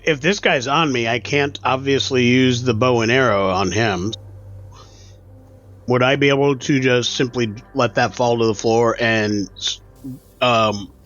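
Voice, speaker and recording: A man's voice: mid-range voice, measured pace, a bit bright. A man speaking casually as a player in a tabletop game, on slightly dull audio.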